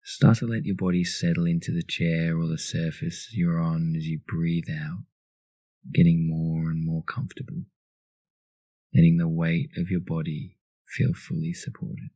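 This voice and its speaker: A man leading a guided meditation in a calm, soothing, instructional manner. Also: sits low, a little slow, dry recording.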